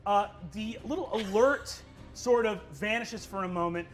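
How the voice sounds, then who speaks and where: A man narrating a tabletop game as game master, in an unhurried, amused way with fillers. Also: sits high, soft background music.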